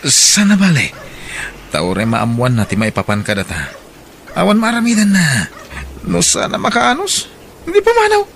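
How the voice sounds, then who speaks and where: A man's voice: sits high, wildly swooping pitch, moderate pace, gravelly, pauses often, a bit bright, slight background noise, heavily acted. A man with an Ilocano accent playing a harsh, hot-tempered husband and father in a radio drama, scolding and emphatic. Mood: pleading, amorous.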